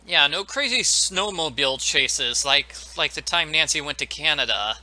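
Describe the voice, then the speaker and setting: A man's voice: a little high, lively intonation, normal speed, pushed and bright, rough recording. A man giving joking commentary as the host of a video game walkthrough.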